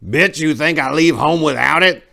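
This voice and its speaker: A man voicing a mean, belligerent old gangster in a dramatic story reading, menacing and gruff as he barks out insults. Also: a little high, normal speed, sharp tone, voice pushed up. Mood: angry threat.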